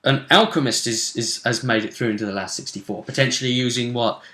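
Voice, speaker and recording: A man co-hosting a casual recap of a tabletop role-playing game, telling it haltingly with dramatic emphasis. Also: medium pitch, fairly bright tone.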